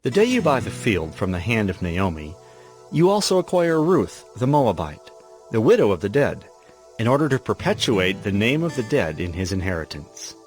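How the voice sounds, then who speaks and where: An actor playing an honorable, resolute landowner of ancient Israel in a solemn dramatized scripture reading. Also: male voice, fairly low pitch, very animated, moderate pace, soft background music. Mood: formal, businesslike.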